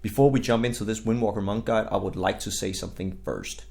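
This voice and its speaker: Young Danish-accented male guide creator; lowish voice, laid-back, rambling delivery full of fillers. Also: fast, creaky. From Denmark. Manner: relaxed, rambling, hedging with fillers. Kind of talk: casual game guide voice-over.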